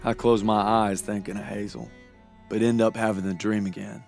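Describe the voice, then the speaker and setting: A man's voice: fairly low pitch, flat delivery, moderate pace, creaky, a little mellow. An actor playing a weary young soldier of World War II, narrating his war journal in the first person. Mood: weary, reflective. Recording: music behind.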